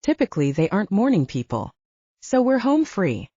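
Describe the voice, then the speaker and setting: A woman voicing a calm, protective and courteous male bodyguard in an audiobook. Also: medium pitch, moderate pace, no room sound, highs cut off. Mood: assured.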